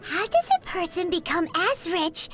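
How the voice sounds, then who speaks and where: Squeaky, very high little fairy companion. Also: female voice, animated, measured pace, music in the background. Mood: eager. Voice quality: squeaky, childlike. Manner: perky, refers to self in third person. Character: tiny chirpy floating fairy companion, childlike, eager and easily miffed. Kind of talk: video game voice acting.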